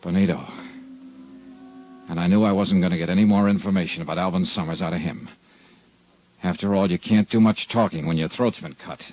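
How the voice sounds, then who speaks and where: A man's voice: sits low, steady tone, brisk, warm tone, natural voice. An actor playing a tough, dry-witted male insurance investigator in a 1950s radio drama, narrating terse and hard-boiled. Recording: old radio transcription with faint hiss.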